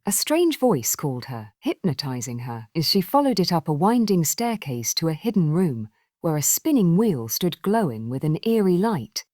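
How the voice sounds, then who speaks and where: A woman narrating a scripted fairy tale for children in an expressive, measured storytelling manner. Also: deep voice, dry recording, studio quality.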